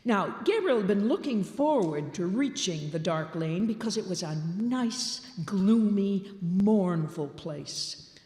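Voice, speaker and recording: Unhurried woman storyteller, expressive delivery, roomy hall echo. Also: mid-range voice. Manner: slow, deliberate, expressive. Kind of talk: dramatic reading of a classic story. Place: large town hall stage, live show.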